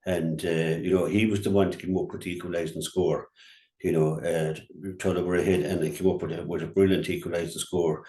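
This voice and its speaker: Deep-voiced Irish man, halting sports talk. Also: flat delivery, warm tone. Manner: halting, repeats words as he goes. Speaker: radio sports pundit. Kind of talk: unscripted match review commentary. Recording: roomy, echoey sound.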